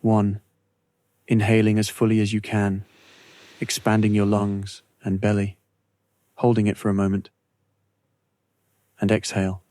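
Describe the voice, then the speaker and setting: A man narrating a scripted guided meditation in a slow, measured, soothing way with long pauses. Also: lowish voice, completely flat delivery, dark tone, no echo.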